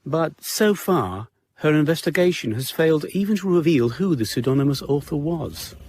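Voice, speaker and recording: A man giving a formal, measured scripted recap as the announcer of a radio drama. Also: on the high side, expressive, a little mellow, slight background noise.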